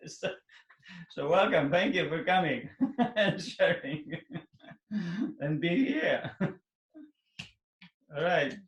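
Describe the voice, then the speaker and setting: Animated man teacher, echoey room. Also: fairly high pitch, very slow, long pauses. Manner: animated, non-native phrasing, laughs often. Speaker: fitness teacher hosting a workshop. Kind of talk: casual talk to an audience.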